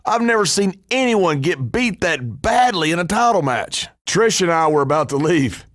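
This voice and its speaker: A man's voice: fairly high pitch, sing-song, moderate pace, a bit bright, dry recording, studio quality. A man playing a cocky, scheming, trash-talking pro wrestler in scripted video game dialogue, with a brash, emphatic delivery. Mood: mocking, gloating.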